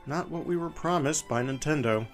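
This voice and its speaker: A man's voice: fairly high pitch, moderate pace, faint music behind, pitched below the performer's own voice, lightly acted. A man voicing a bitter, disgusted gamer in a terse, grumbling, dismissive dramatic reading. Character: bitter, disgusted, low-voiced disappointed gamer. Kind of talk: dramatic reading of a written review.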